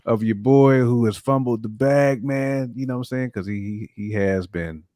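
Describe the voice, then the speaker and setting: A man's voice: medium pitch, moderate pace, dark tone, no echo, studio quality. A man co-hosting a podcast, chatting casually in slang peppered with filler catchphrases. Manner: slangy, peppered with "you know what I'm saying". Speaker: podcast co-host.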